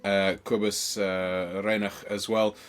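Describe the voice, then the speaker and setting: A young man from South Africa hosting a casual podcast, hoarse from a chest infection, rambling and excitable with many fillers. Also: lowish voice, slow pace. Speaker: podcast host.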